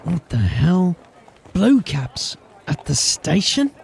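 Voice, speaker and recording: A man's voice: on the high side, very animated, slow, vocal fry, darkish tone, breathy edge, exaggerated voice. A man playing a grim, determined city watch officer in a fantasy audio drama, speaking in a terse, commanding way. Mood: wary.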